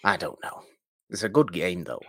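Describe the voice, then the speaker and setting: Low-voiced British man chatting on a podcast. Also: very animated, moderate pace, a bit bright, no room sound, clean studio sound. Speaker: podcast co-host. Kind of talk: casual film podcast chat.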